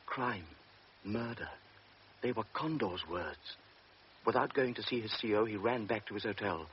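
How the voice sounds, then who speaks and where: A man narrates a radio drama with grave, measured storytelling. His voice is very low. The recording is dull, with steady hiss behind him.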